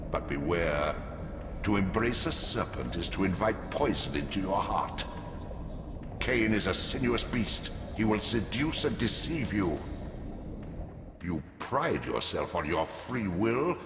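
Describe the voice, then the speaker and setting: Deep, booming ancient god in cavernous reverb. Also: male voice, vocal fry, background noise, full character voice. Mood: commanding, warning. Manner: grandiose, slow, solemn pronouncements. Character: ancient, gigantic squid-like god, commanding and manipulative. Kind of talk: theatrical video game voice acting. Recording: cavernous echo, long reverb, music behind.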